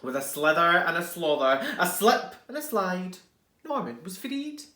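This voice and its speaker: A man reading a children's storybook aloud as a teacher, expressively, with dramatic pauses and hushed asides. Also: high voice, big pitch swings. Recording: roomy, echoing indoor sound.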